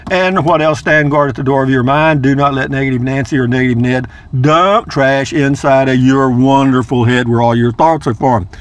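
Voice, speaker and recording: A man's voice: mid-range voice, steady tone, moderate pace, few pauses. An older man, narrating a casual vlog from inside his car, chatty and rambling, repeating words playfully. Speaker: vlogger.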